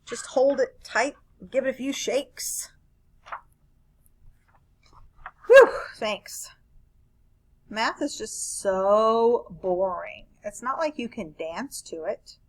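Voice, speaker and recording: A woman's voice: a little high, animated, takes it slowly. A woman reading a children's picture book aloud as a grumpy, bored, reluctant narrator who complains expressively. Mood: exasperated.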